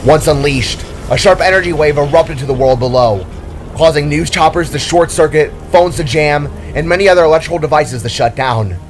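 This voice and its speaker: A man's voice: fairly high pitch, brisk. A man reading scripted fantasy action as a dramatic story narrator.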